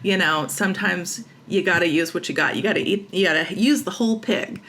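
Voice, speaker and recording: A woman's voice: fairly low pitch, brisk, a bit bright, faint music behind. An American woman talking casually and emphatically as an interview guest, giving opinions and repeating phrases for stress. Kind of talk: casual, opinionated creative talk.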